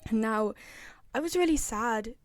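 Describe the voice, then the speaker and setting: Young woman, video creator, casual fan commentary, chatty, repetitive emphasis, higher-pitched, dry close recording. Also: expressive, takes it slowly, no room sound. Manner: chatty, gushing, repeats phrases for emphasis.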